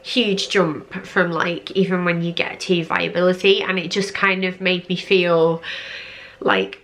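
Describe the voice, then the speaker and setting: A young woman in a casual vlog, hoarse and congested from a cold, chatting with lots of fillers and drawn-out repeated words. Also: lowish voice, fairly bright tone. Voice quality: croaky. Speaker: vlogger. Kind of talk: casual pregnancy update vlog.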